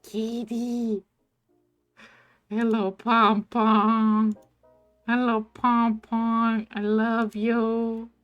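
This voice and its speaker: A woman's voice: fairly high pitch, flat delivery, extremely slow, long pauses, clean tone, no room sound, soft background music. A woman streaming a game, chatting casually and reading its text aloud between her own asides. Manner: coos affectionately at game pets.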